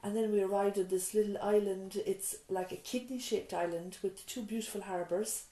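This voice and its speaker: A woman's voice: medium pitch, fairly even intonation, moderate pace, echoey room, low-quality recording. A middle-aged woman, a nurse and mother, telling her story in an interview with frequent restarts and asides. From Ireland. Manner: warm storytelling, frequent restarts and "you know". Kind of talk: reflective interview answers. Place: island nurse's surgery, small room.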